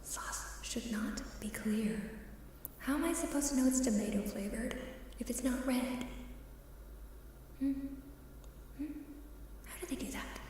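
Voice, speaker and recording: A woman talks in a soft, mellow ASMR voice that often slips into whispers. She takes her time, with a playful, coy manner. The sound is heavily echoing and a little distant, with faint ambience behind her. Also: a little high, unhurried, pauses often. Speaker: ASMR live streamer. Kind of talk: ASMR casual chat with whispering. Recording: reverberant hall-like echo, faint hiss, distant.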